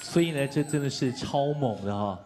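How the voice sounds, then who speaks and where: A man presenting on stage in casual, lively talk. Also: medium pitch, moderate pace. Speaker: awards show presenter.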